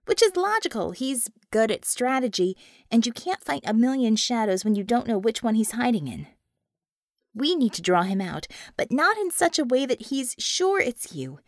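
A brash female team leader from an audiobook adventure, acted out by a woman narrator. Her voice is lively and fairly high, swinging widely as she exclaims. She is impatient and sardonic, driving each point home. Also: moderate pace, no echo, clean studio sound. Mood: persuasive.

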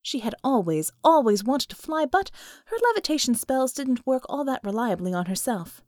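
A woman reading scripted fiction as an audiobook narrator, with expressive, dramatic storytelling. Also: fairly high pitch, moderate pace, no echo, studio quality.

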